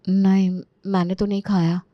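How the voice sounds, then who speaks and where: A woman's voice: mid-range voice, flat delivery, measured pace, no echo, highs cut off, lightly acted. A woman playing a naive, trusting young daughter-in-law in a story, mild and doubting in manner. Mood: doubtful.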